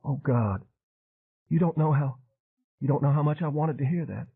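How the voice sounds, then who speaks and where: Brief: pleading young woman, put on by a male reader, pitched up high, tinny phone sound. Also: moderate pace, breathy edge. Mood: anxious pleading. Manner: anxious and pleading.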